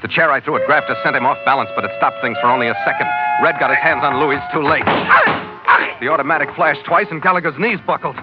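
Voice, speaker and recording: A man's voice: fairly high pitch, fast talker, lightly acted. A man playing a tough American sea captain narrating a 1940s radio adventure in clipped, hard-boiled, dramatic fashion. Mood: urgent, intense. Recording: muffled old radio broadcast with music.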